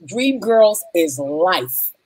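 A woman's voice: on the low side, big pitch swings, extremely slow, a little mellow, rough recording. A woman reading out donations in casual chat on a video call, folksy and calling people honey.

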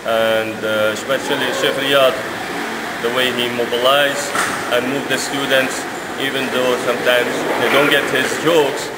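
A middle-aged man from Syria giving an unscripted testimonial after a seminar, pausing often with fillers. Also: medium pitch, level tone. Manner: halting, many fillers. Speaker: seminar attendee. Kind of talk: spontaneous testimonial. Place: indoor seminar hall. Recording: loud hiss and air-conditioning hum.

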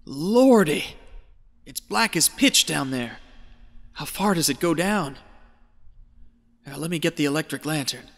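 A man's voice: a little high, lively intonation, a little slow, gravelly, pauses often, heavily acted. A man acting a greedy, half-mad treasure hunter in an audio drama, talking to himself in folksy exclamations. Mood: excited, awed. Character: feverish, greedy male treasure hunter descending into madness. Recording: echoey cave reverb with music behind.

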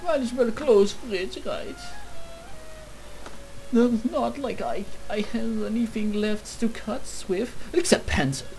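A woman's voice: a little high, slow, a little mellow, faint music behind, rough recording. A woman playing a video game, chatting in a goofy, mock-dramatic way with her tools as if they were old friends. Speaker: gaming YouTuber. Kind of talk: comedic let's play commentary.

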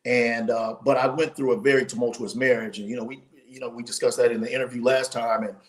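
Guest author, forties, male, animated storyteller on a home video call, voice tiring. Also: mid-range voice, fast. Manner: animated, storytelling with many restarts. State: losing his voice. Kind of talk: casual interview storytelling. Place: home room, indoors.

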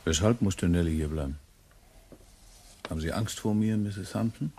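An actor playing a shrewd, cool blackmailer in a German crime radio play. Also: male voice, sits low, moderate pace, darkish tone, a little hiss or hum. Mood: sly, guarded.

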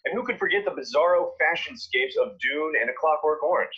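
A man's voice: on the high side, expressive, brisk, breathy edge, muffled recording. An American man narrating a scripted countdown video in a punchy, opinionated, irreverent manner.

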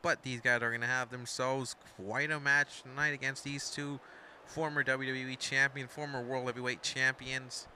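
A young man calls a wrestling game in a loose, rambling play-by-play, stopping to correct himself and toss in asides. His voice is very bright and sharp, over a faint hiss of noise. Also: mid-range voice, flat delivery, moderate pace. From Mexican. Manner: rambling, chatty, self-interrupting with asides. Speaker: fan commentator of a wrestling video game storyline. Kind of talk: casual play-by-play commentary.

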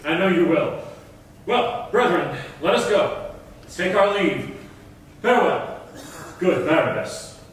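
A man's voice: high voice, animated, takes it slowly, pauses often. An actor playing an anxious, deferential townsman bringing news, in earnest classical stage speech. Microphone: far camera. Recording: echoey hall, distant, some noise.

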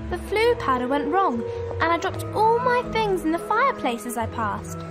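An earnest young schoolgirl witch in a game. Her voice is high and girlish, with music clearly behind it. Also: very animated, moderate pace. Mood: worried. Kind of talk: video game cutscene acting.

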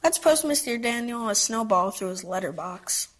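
A man's voice: high voice, animated, normal speed, fairly bright tone. A performer playing a playful, boastful young boy in a dramatic story reading, eager and mischievous.

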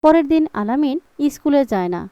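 A woman's voice: sits high, lively intonation, fairly quick, warm tone, dry recording. A woman reading scripted storybook narration for a children's cartoon.

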